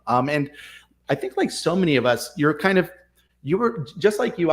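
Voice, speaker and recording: A man hosting a casual interview, encouraging his guest and asking long, rambling questions full of restarts. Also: mid-range voice. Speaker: host, realtor.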